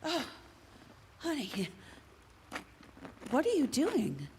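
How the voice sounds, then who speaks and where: A woman's voice: sits high, huge pitch swings, very slow, darkish tone, heavily acted. A woman playing a frightened wife pleading with her husband in a live horror radio play. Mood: pleading, distraught. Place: live show at a recreation hall. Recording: very noisy live stage recording.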